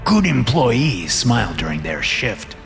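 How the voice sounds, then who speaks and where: Man acting a gravelly, sinister fat boss. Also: mid-range voice, very animated, music in the background. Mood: cold, menacing. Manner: measured, reasoning, coldly persuasive. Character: fat, sinister male boss, self-justifying and controlling. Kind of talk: audio horror story character.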